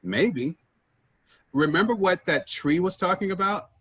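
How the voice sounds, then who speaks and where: A man acting in a staged play reading as an earnest, wistful man searching for his vanished sibling, with a husky voice. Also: high-pitched, lively intonation, unhurried, a bit bright, exaggerated voice. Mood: wistful, reflective.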